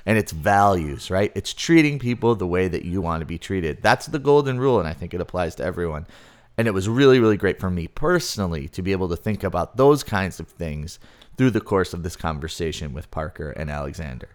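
A man's voice: low-pitched, expressive, a little fast. A man hosting a podcast, chatting casually and rambling with restarts and self-deprecating asides. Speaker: podcast host. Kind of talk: casual interview chat.

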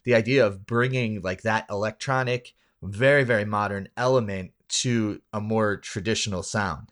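A man's voice: fairly low pitch, fairly even intonation, normal speed, a bit bright. A man hosting a podcast interview, asking long, hesitant questions full of fillers. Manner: hesitant, many fillers and restarts. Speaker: podcast host. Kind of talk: interview conversation.

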